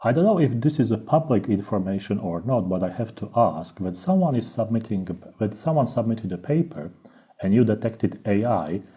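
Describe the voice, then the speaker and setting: A man's voice: on the low side, lively intonation, normal speed, mellow. A man hosting a podcast, asking his guests inquisitive, tentative questions. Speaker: podcast host. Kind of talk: interview questions.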